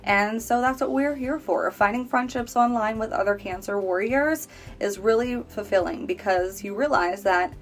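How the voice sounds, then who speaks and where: A woman's voice: medium pitch, moderate pace. A woman in her late twenties, a cancer survivor, earnestly telling her personal story in a conversational way. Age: 20s. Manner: earnest, conversational, speaking straight to viewers. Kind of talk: personal testimonial.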